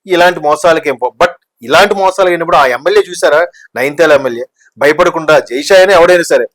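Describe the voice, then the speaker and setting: Man, slightly high voice, rapid talk, conversational commentary, explanatory, English words mixed in. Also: a bit bright. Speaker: news discussion commentator.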